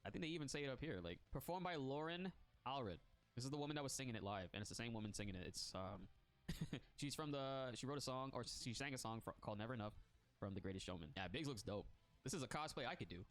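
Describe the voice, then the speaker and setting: Congested male streamer, fast and animated, reacts with slang and laughs. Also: mid-range voice. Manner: excitable, laughs often, slangy. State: congested from a cold. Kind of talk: casual reaction chat, reading text aloud.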